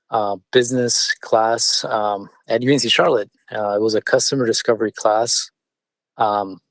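A man whose first language is Spanish, a business owner answering interview questions in a measured way with frequent fillers and restarts. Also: on the low side, dry recording. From born in California, partly raised in Mexico City. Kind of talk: conversational interview.

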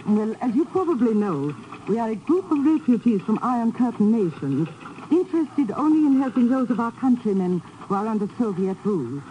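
A woman's voice: on the high side, big pitch swings, fairly quick, highs cut off, slight background noise. A woman playing a formal, guarded director of an émigré radio station in a 1950s radio drama, speaking precisely. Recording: vintage 1950s radio recording.

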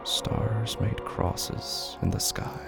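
A man with a gravelly, creaky voice giving brooding, hushed, literary narration in a horror audio drama. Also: very slow, over loud music. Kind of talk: scripted literary narration, solemn and slow.

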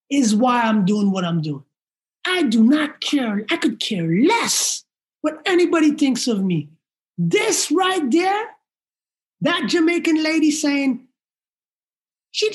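Earnest, emotional male podcast guest. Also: very high-pitched. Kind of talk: casual interview talk.

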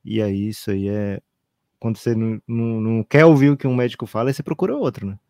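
A man's voice: on the low side, quick pace, warm tone, dry recording, studio quality. A man co-hosting a casual sports podcast, talking conversationally and often adding tag questions. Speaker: podcast co-host.

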